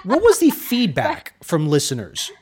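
A man's voice: a little high, huge pitch swings, unhurried, husky. A man hosting an interview, asking long winding questions and thinking aloud as he goes. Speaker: interview host. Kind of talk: conversational interview.

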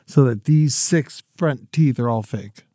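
A man's voice: medium pitch, unhurried, dark tone, no echo, clean studio sound. A man in his forties co-hosting a podcast, chatting casually in a laid-back, rambling way and laughing often. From Florida. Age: 40s. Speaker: podcast co-host. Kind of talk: casual chat.

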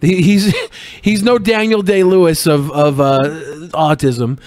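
A man's voice: sits high, fairly even intonation, measured pace, darkish tone, no room sound. A man co-hosting a podcast in casual, crude comedic banter, rambling through joking riffs and swearing freely. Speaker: podcast co-host.

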